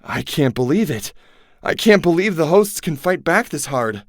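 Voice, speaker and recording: A man's voice: fairly high pitch, sing-song, normal speed, dry recording, pitched above the performer's own voice. A man voicing a worried teenage boy in a dry, close recording.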